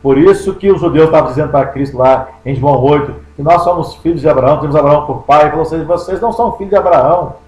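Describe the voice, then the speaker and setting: A Brazilian man gives a Bible study sermon in a somewhat high voice. He reads verses, then explains them with rising emphasis and slowly drawn-out words. The sound rings in a roomy, echoey space. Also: darkish tone, lo-fi sound. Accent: Brazilian Portuguese. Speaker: pastor. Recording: echoey room.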